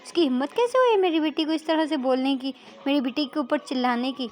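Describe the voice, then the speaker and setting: A woman's voice: sits high, brisk, a little mellow, pitched above the performer's own voice, lightly acted. A woman voicing a protective, indignant father in a told story, blustering and insistent on behalf of his daughter. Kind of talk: amateur acted dialogue in a narrated story.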